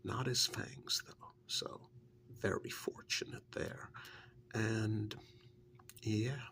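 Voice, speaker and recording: Man performing rueful witch's assistant, ASMR fantasy role-play, lowish male voice, very slow and halting, soft breathy delivery, understated. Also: fairly even intonation, heavily acted. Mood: rueful, recounting. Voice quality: breathy, half-whispered, gravelly. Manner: halting, unhurried, confiding, understated.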